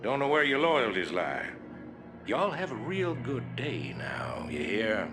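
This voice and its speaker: An actor playing an arrogant, bullying military officer in a video game, with a Southern American accent and a condescending drawl. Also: male voice, mid-range voice, very animated, takes it slowly, over music. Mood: scornful.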